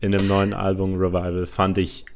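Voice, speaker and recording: A man co-hosting a podcast, giving his opinions in casual, halting talk with bits of English slang. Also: low-pitched, monotone, a little hiss or hum. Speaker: podcast co-host. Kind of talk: casual chat about music.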